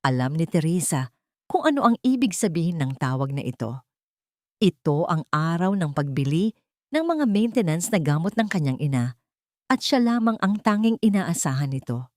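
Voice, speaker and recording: A woman narrating a scripted dramatic story in a measured, solemn, expressive manner. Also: on the low side, no echo, clean studio sound.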